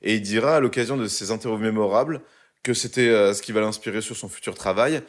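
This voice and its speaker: A man's voice: fairly low pitch, quick pace. A young man co-hosting a casual podcast, telling a story in a loose, joking way with lots of fillers. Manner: jokey, loose delivery with many fillers. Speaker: podcast co-host presenting a segment. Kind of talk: casual chat.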